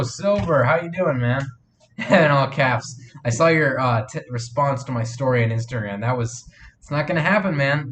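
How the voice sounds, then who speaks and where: Casual male streamer, sniffly, hesitant and rambling, mid-pitched voice, faint background noise. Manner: rambling, hesitant, full of fillers and restarts; reads and answers viewer chat. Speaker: live streamer and fan film maker. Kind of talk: casual live stream chat.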